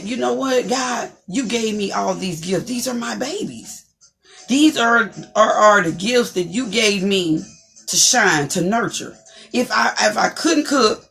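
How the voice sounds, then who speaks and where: A woman with a deep, distinctive voice hosts an inspirational talk show. Her delivery is preachy and encouraging, and she repeats phrases like a speaker building to a point. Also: gravelly, a bit bright.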